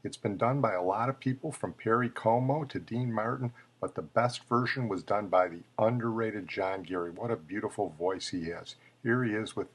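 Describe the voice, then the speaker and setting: A man with a husky voice hosting a tribute show, presenting obituaries between clips. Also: on the low side, normal speed, few pauses. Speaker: host of a memorial tribute show.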